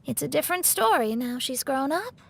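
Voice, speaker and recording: Game astrologist, young woman: haughty, emphatic, high and expressive, given to theatrics. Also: a little fast, vocal fry, background noise. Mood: composed, inquisitive. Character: proud, dramatic young female astrologist. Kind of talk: anime-style video game acting.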